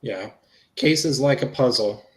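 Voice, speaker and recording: Roomy echo, man acting a patient investigator, mid-pitched, casual explaining, police interview reading. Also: unhurried, lightly acted. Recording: roomy, echoey sound.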